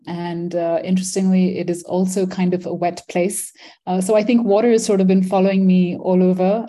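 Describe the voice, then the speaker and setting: A middle-aged woman who grew up in Malaysia, speaking as an interview guest, explaining her ideas thoughtfully, with many pauses, ums and self-corrections. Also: on the low side, mellow. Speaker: environmental philosopher and design educator.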